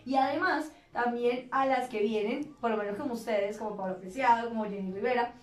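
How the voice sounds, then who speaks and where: A Colombian-accented woman hosts a radio interview, chatting along and leading with questions. Her voice is on the high side. The small studio adds an echo. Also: brisk. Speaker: radio host. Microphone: headphones and boom mic. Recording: echoey studio room.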